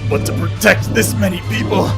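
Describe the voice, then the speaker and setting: A man voicing an earnest, determined young fighter and spellcaster in an animated fantasy battle, dramatic and strained, with music behind. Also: high-pitched, a little fast. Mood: strained, unsure. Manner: dramatic, strained shouting of attack names.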